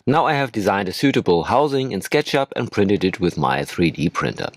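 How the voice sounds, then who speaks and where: A man's voice: fairly low pitch, very animated, fairly quick. A man narrating a hobby tutorial as a voice-over, hosting his own how-to video.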